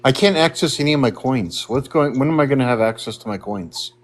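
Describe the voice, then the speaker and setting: An American man in a casual online group chat who talks in an eager, excitable way. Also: medium pitch, fairly quick. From United States.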